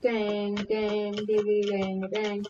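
A woman streaming a game with friends, chatting casually, yelping and shouting at the action and using mild minced oaths instead of swears. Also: mid-range voice, completely flat delivery, extremely slow, highs cut off. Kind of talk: casual gaming chat. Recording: home stream mic with noise and keyboard clicks.

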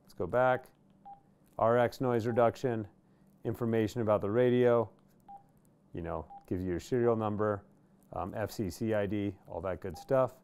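Low, mellow American man presenting gear. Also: steady tone, normal speed, gravelly, long pauses, clean tone. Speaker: product review presenter. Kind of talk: casual product demonstration. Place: small indoor workshop studio.

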